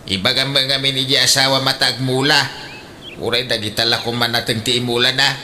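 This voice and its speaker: A man's voice: medium pitch, flat delivery, brisk, bright, forceful tone, a little hiss or hum. An actor with an Ilocano accent playing a teasing, blunt older father in a comedic radio drama, full of exclamations. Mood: nagging, practical.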